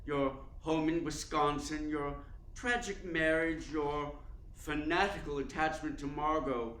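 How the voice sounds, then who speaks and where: An actor playing an urbane, cunning theater columnist in a radio play, speaking in a suave, deliberate, formal way. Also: male voice, a little high, steady tone, fairly bright tone. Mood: suave, probing. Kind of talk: radio play narration and dialogue. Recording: roomy echo, faint background noise.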